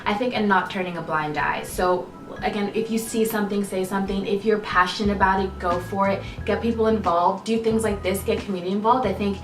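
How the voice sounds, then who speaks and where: A woman in her twenties speaks earnestly, stressing her points. Her voice is mid-pitched and picked up from a distance. The room is echoey and music sits under her words. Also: steady tone, brisk. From Ocala, Florida. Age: 20s. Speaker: interviewee. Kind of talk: documentary interview. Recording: roomy, distant mic with music bed.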